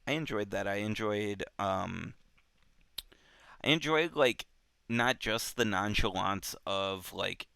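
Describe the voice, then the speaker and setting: A man casually discussing a book as a show host, thinking aloud in halting, repetitive phrases. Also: on the low side, gravelly, sharp tone, smooth, no echo, studio quality.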